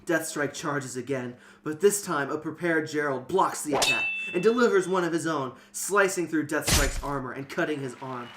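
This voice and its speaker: A man narrating a fantasy story as an amateur dramatic reading, with an animated storytelling manner. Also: a little high, normal speed, hardly any pauses, heavy background noise. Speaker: narrator and host.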